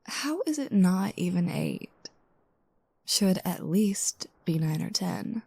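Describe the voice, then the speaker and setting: Woman acting a drowsy, creaky-voiced teasing girlfriend. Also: on the low side, very animated, no room sound, full character voice. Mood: drowsy, grumbling. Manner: soft, breathy asides, teasing, unhurried. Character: teasing, playful new girlfriend waking up drowsy. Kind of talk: intimate girlfriend roleplay audio.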